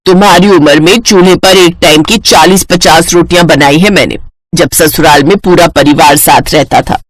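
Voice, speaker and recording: A woman's voice: lowish voice, steady tone, moderate pace, no room sound, lightly acted. Woman acting a grumbling middle-aged Indian mother. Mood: exasperated. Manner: grumbling, world-weary. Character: middle-aged Indian mother, exasperated, practical, worrying wife. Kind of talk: animated moral-story voice acting.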